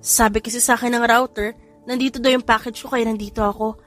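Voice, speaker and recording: A woman voicing a flustered, apologetic young bride in an audio drama, startled and hurried. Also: on the high side, flat delivery, faint music behind, lightly acted.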